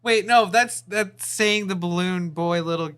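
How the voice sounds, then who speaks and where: A man with a fairly high voice co-hosts a relaxed discussion about films. He talks in a hesitant way and often lets sentences trail off. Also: unhurried, clean tone. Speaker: podcast co-host. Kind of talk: casual movie discussion.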